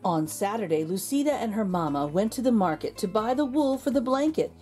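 A woman narrating a children's storybook in an expressive, deliberate storytelling manner. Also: mid-range voice, soft background music. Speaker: children's read-aloud host.

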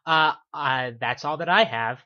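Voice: mid-range voice, measured pace, highs cut off. A podcast co-host chatting casually, hesitant and full of fillers. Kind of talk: casual chat.

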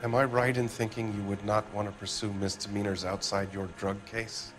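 An American actor playing a defensive, evasive middle-aged factory owner in a video game, with an indignant, blustering manner. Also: male voice, lowish voice, little pitch movement, normal speed, over music, lightly acted.

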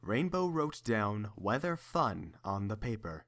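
Lively male story narrator. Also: on the low side, a little slow.

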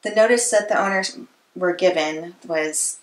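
A woman's voice: fairly low pitch, a little slow. A young American woman in her twenties hosting an interview in a casual, chatty style. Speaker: interviewer, host. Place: living room with books, indoors. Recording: roomy, echoey living room.

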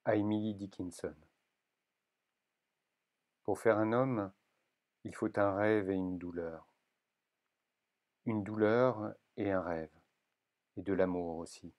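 A man with a fairly low voice gives a solemn poetry reading. He speaks very slowly and deliberately, setting short phrases apart with long pauses. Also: fairly even intonation, darkish tone. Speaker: poetry reader.

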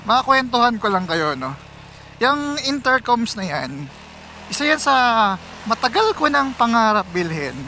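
A man's voice: very high-pitched, very animated, unhurried, noisy background. A Filipino man chatting casually to viewers as he rides, conversational and mixing in English phrases. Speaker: motorcycle vlogger. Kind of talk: casual vlog chat to viewers while riding. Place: outdoors, riding a motorcycle on city roads.

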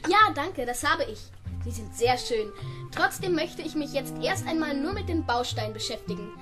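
A woman's voice: high voice, animated, normal speed. A woman playing an extremely polite seven-year-old boy in a children's radio play, speaking formally and precisely. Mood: polite, earnest. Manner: formal, precise, overly courteous. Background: music.

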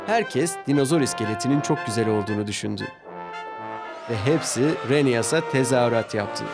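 A man's voice: mid-range voice, expressive, brisk. Male children's story narrator over brassy music. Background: orchestral music with brass.

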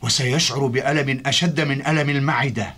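A man's voice: medium pitch, steady tone, a little fast. A man voicing a kindly, cautious old traveller in a dubbed cartoon, earnest and avuncular. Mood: concerned. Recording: loud music under the voice.

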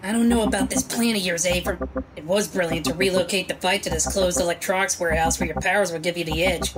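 A woman's voice: on the low side, fairly even intonation, quick pace, over a noisy crowd, close to an everyday voice. A woman playing a tough, cool bar owner and vigilante in a comic-book dub, curt and unimpressed. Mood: cool, firm.

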